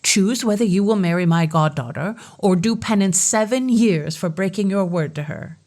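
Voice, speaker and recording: A woman's voice: mid-range voice, normal speed, darkish tone, natural voice. Storybook fairy, bossy and scolding, woman reader. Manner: commanding, scolding. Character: imperious angry fairy godmother.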